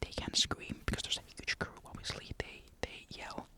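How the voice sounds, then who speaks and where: A young man from Finland, whispering breathily in a casual, rambling ASMR-like monologue despite a sore throat. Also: moderate pace. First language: Finnish. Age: young adult. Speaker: military conscript recounting service.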